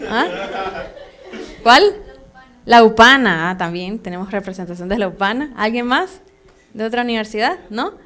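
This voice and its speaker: A Venezuelan woman with a Venezuelan accent teaching a church training class live, chatty and hesitant with many fillers and soft laughs. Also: fairly high pitch, animated, a little slow, pauses often. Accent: Venezuelan Spanish. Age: adult, has a son. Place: church meeting room.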